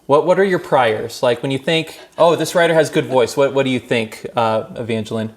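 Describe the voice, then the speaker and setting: A man's voice: medium pitch, fairly quick. A man in his thirties hosting a podcast, reading a scripted opening and then chatting with guests in a wry, self-deprecating way. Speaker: podcast host. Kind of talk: scripted intro, then casual chat.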